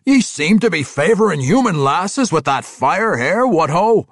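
A man voicing a belligerent, accusing dwarf with rough rustic speech in a fantasy audiobook. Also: high-pitched, big pitch swings, measured pace, no echo, clean studio sound, voice pushed up, exaggerated voice. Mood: teasing. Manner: loud, accusing, rustic old dialect. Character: belligerent, scolding male dwarf, rough and indignant.

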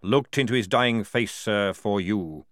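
A man voicing a fierce, loyal old male army sergeant who barks his words in a forceful parade-ground manner. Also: lowish voice, a little slow, no room sound, studio quality. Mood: roaring, defiant.

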